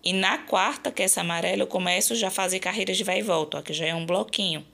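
A slightly hoarse woman with a Brazilian accent, explaining her craft work in a chatty, casual vlog style. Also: medium pitch, fast talker, sharp tone. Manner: chatty, explanatory, frequent check-in tags. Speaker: crochet channel host.

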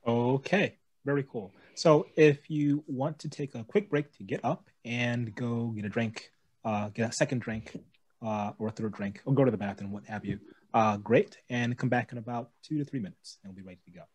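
A man hosting an informal online stream over a video call, speaking in a casual, halting way. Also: medium pitch, darkish tone, slightly rough. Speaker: host. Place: indoors, on a group video call.

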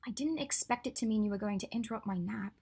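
A woman reading the part of an earnest, clever young witch, her voice a little raised and husky. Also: medium pitch, quick pace, dark tone, pitched above the performer's own voice. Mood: sulky. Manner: earnest, then sulky and teasing.

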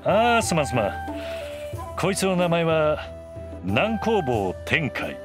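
A man's voice: on the high side, huge pitch swings, takes it slowly, music in the background, full character voice. A man playing an easygoing, authoritative middle-aged shogun in an anime voice drama, casual, commanding and wry.

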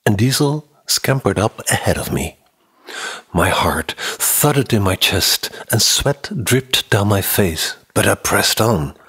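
A man in his sixties with a husky voice, giving a tense, dramatic audiobook reading of a mystery novel close to the microphone. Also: on the low side, animated, slow, a bit bright. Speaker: audiobook narrator. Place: indoor room, office or home studio. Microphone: close condenser microphone on a boom arm.

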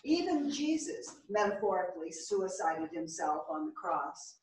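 A woman's voice: fairly low pitch, big pitch swings, a little slow, a little mellow, a little hiss or hum. A woman acting a bookish, thoughtful older woman in a staged theatre reading. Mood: musing. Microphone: distant camera. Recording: very echoey theatre, distant microphone.

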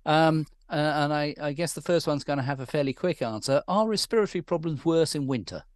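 A man's voice: a little high, a little fast, no room sound, studio quality. A British man in his sixties reading out questions politely and a little hesitantly, on a headset in a video call. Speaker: question reader. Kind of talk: moderating an online Q&A.

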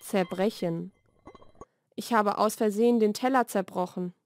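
A woman reads short scripted vocabulary lesson sentences in a clearly enunciated, measured way. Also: mid-range voice, no echo. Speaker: language lesson narrator. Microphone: studio voice-over recording.

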